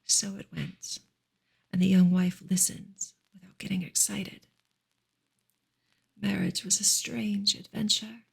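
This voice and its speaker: A woman gives a soft ASMR reading of a book, breathy and often close to a whisper, in a gentle, measured way. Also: mid-range voice, little pitch movement. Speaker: streamer reading a novel aloud.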